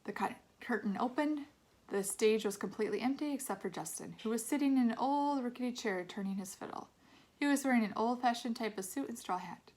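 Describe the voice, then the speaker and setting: A woman reading a children's novel aloud in an expressive storytelling manner, narrating in a child's first person. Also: fairly high pitch, a little fast, low-quality recording. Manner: expressive, acts out dialogue.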